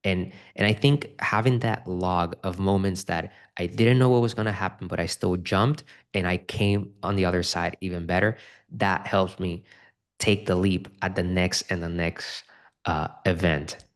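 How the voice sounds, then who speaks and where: Deep-voiced man. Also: steady tone, normal speed. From Dominican Republic. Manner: earnest, repeats words when starting sentences. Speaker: guest, real estate investor. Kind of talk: casual interview conversation.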